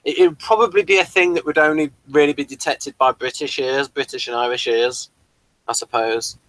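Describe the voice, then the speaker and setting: A man with a very bright, forward voice recorded close and dry, hosting a casual review chat. His delivery is unscripted and rambling, stopping and restarting as he thinks aloud. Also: mid-range voice, fairly even intonation, fairly quick, dry recording, poor audio. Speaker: host of a review show.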